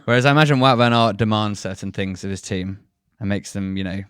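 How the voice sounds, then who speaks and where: A fairly low-voiced man chats on a podcast, making dry, self-mocking jokes. His voice is recorded close with little room sound. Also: fast talker, fairly bright tone, clear, resonant tone, no room sound. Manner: wry, jokey asides. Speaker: podcast co-host. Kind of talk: casual podcast chat.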